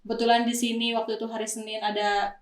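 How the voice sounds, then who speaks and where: A woman's voice: a little high, little pitch movement, quick pace. A woman hosting a casual podcast interview, informal and chatty. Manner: informal, chatty, frequently echoing the guest. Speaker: podcast host. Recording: echoey room.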